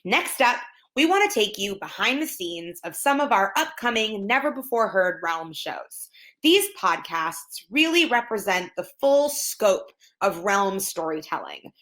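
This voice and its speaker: A woman with a clearly bright, forward voice at a middle pitch. She presents like an event host reading prepared links.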